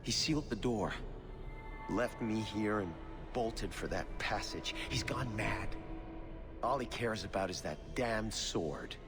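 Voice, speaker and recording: An actor playing a distressed young merchant's son in a video game, earnest and pleading. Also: male voice, lowish voice, expressive, slow pace, music in the background. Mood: panicked. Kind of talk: video game cutscene acting.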